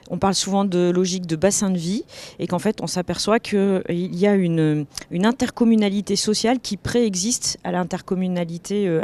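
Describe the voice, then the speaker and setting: A woman's voice: on the low side, mellow. A woman who is an elected official, giving explanatory answers in a panel interview, phrasing hesitantly and repeating words. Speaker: elected official, vice-president of a local authority. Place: TV set at a convention.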